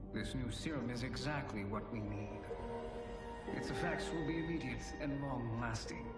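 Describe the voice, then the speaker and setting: A man's voice: mid-range voice, lightly acted. An actor playing a scheming male sorcerer-scientist in a video game, speaking in a formal, articulate and condescending manner. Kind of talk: video game cutscene acting. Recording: loud music behind the voice. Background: loud music.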